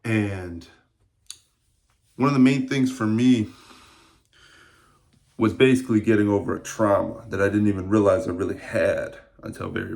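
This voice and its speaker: A man's voice: lowish voice, vocal fry, a little mellow. A man in his early twenties talking casually to camera in a rambling, reflective vlog with many fillers. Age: 20s. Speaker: fitness vlogger.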